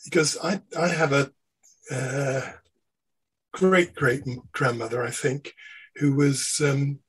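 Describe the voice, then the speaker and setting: A man with a very raspy voice who speaks slowly. As a co-host, he puts his questions with care, with many pauses and fillers while he finds his words. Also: medium pitch, steady tone. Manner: hesitant, searching for words. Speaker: podcast co-host. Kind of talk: interview questioning.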